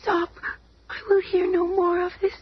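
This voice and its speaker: A woman's voice: extremely high pitch, flat delivery, moderate pace, a crowd in the background. A woman playing a distraught young stepdaughter in a melodramatic radio drama, crying out and pleading. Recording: muffled, noisy old radio broadcast.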